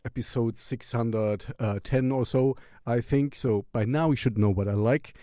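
Man, music show host, unhurried pace, dry close sound, distracted and playful, casual rambling. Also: mid-range voice, warm tone, dry recording. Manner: playful, distracted, halting delivery.

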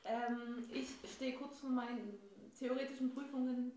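A woman's voice: on the high side, steady tone, a little slow, a little mellow, a little hiss or hum. A woman in her thirties from Dresden introducing herself casually as a podcast guest in a kitchen studio. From Dresden, Germany. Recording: distant mic, very echoey room.

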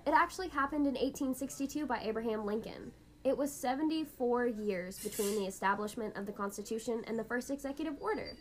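A woman with a fairly high voice speaks as the host of an educational podcast. Her delivery is scripted and explanatory, laying out each point like a lesson. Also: fast, a little hiss or hum, low-quality recording.

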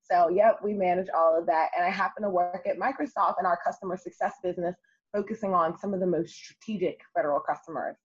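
A young adult woman telling her story as an interview guest, lively and emphatic. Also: fairly low pitch, fast, husky. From United States. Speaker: guest, city councilwoman. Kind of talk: conversational interview. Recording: muffled lo-fi audio.

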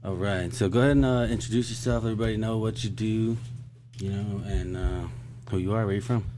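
A man hosting a podcast, asking quick, casual, slangy questions. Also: fairly low pitch, steady tone, noisy background. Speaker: podcast host.

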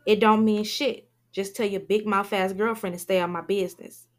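Woman doing a sharp-tongued defiant young woman. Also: mid-range voice, steady tone, moderate pace, close to an everyday voice. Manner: combative, cursing.

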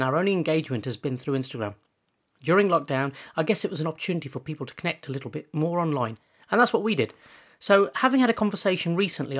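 A man hosting a podcast interview, talking in a chatty way and often restarting his phrases. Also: on the high side. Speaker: podcast host.

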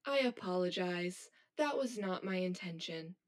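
A woman playing a cold, blunt high-achieving schoolgirl who talks in a stiff, formal, robotic way in an audio drama. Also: lively intonation, measured pace. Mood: flat, detached. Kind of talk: scripted audio drama acting.

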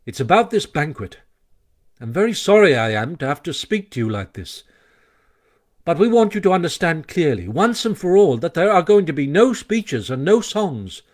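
A man's voice: fairly high pitch, big pitch swings, normal speed, pitched above the performer's own voice. A man voicing a male water rat who speaks firmly but kindly, earnest and a little apologetic.

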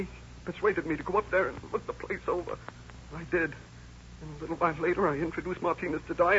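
An actor playing a scared American crook, insistent and rough-spoken, in a 1930s radio crime drama style. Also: male voice, high-pitched, bright, forceful tone. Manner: insistent, pleading, rough uneducated speech. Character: scared young male crook giving a defensive confession. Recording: noisy, muffled old-time radio recording.

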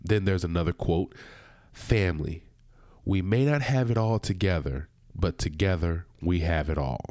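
Deep-voiced man hosting a podcast, nasal. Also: normal speed, a little mellow, no echo, clean studio sound. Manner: conversational, loose and personal, with asides. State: nasal from a cold. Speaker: podcast host. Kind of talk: casual solo podcast monologue.

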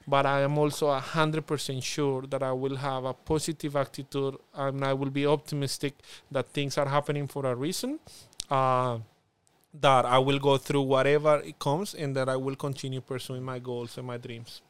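A man's voice: medium pitch, little pitch movement, moderate pace, clear, resonant tone. A young man with a Spanish accent, speaking Spanish as his first language, talking earnestly in an interview, full of fillers and restarts. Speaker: guest. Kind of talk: reflective conversational interview.

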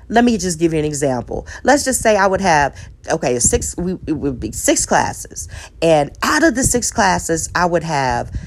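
A woman, a divorced single mother giving relationship advice in an earnest, reflective monologue, with a preacher-like cadence, repeated phrases and self-deprecating asides. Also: lowish voice.

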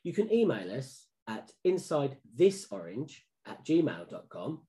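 A man's voice: medium pitch, big pitch swings, measured pace, mellow. A man hosting a podcast, asking long, rambling questions with restarts. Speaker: podcast host. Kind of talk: podcast interview.

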